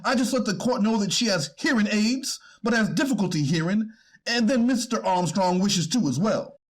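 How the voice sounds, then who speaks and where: Guy playing a defense lawyer, high husky voice, formal and deferential, courtroom reading. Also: expressive. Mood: polite request. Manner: deferential, formal, halting argument. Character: male defense attorney pleading for his client in court. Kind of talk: readers theater courtroom transcript reading.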